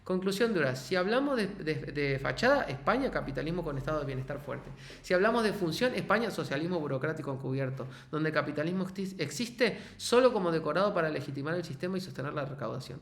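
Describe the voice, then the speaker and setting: A man's synthetic assistant voice giving a structured answer in even, declarative lists. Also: a little high, quick pace, few pauses, roomy sound. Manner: even, declarative list delivery.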